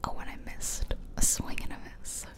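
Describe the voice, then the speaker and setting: A woman whispering chatty, self-deprecating commentary over gameplay in a soft, breathy ASMR style. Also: normal speed. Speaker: ASMR gaming video host.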